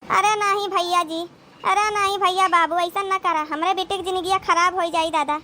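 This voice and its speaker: A woman's voice: very high-pitched, fairly even intonation, moderate pace, pushed and bright. A woman playing a scolding but protective village sister-in-law in an Awadhi comedy cartoon, exclamatory and rustic. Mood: pleading. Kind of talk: dramatic comedy cartoon dialogue.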